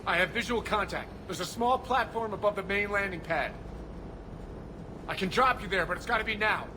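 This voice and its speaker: A man's voice: high voice, normal speed, noisy background, natural voice. A man playing an alert military shuttle pilot in an action video game, with clipped, urgent tactical delivery.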